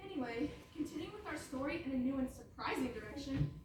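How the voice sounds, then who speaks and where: A woman's voice: sits high, expressive, quick pace. Female stage narrator, comic scripted storytelling; distant mic, faint noise. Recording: very echoey hall, far from mic.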